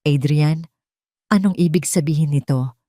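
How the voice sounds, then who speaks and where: A woman's voice: low-pitched, a little slow, mellow, no room sound, clean studio sound. A woman voicing an anxious, calculating wife in an audio love drama, with a dramatic, sweetly worried manner. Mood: trembling, shocked. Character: anxious, cold, calculating wife of a rich man.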